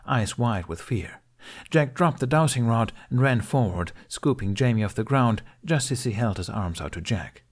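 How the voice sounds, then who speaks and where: A man reading a fantasy story aloud as narrator, in an unhurried, measured way. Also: fairly low pitch, darkish tone. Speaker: fan fiction audiobook reader.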